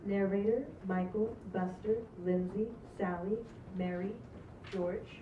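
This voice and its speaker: A woman's voice: mid-range voice, fairly even intonation, slow. A woman reading stage directions as scripted narration at a table read. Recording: echoey, distant, dull, slightly noisy room.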